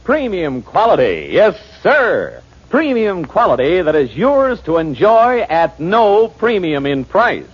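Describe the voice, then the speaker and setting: An actor voicing an emphatic 1940s radio advertising pitchman, stretching out his phrases. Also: huge pitch swings, heavily acted. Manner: drawn-out, emphatic repetition. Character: slow, exaggerated male advertising pitch voice. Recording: dull, muffled 1940s radio recording.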